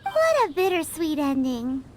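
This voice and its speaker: A woman voicing a tiny childlike fairy companion in a video game, squeaky, perky and cheeky. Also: wildly swooping pitch, unhurried, very noisy, full character voice. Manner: perky, exclamatory.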